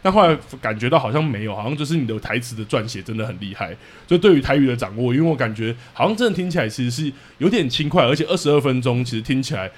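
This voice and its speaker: A man's voice: medium pitch, animated, racing, hardly any pauses. A man with a Taiwanese Mandarin accent hosting a podcast in a casual, chatty style. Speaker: podcast host. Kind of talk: casual chat.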